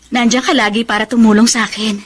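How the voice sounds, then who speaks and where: An actress playing a bashful, grateful middle-aged wife in a Filipino radio drama, speaking in a hesitant, conversational way. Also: female voice, fairly high pitch, fairly even intonation, fast talker, natural voice. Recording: clearly noisy radio drama recording.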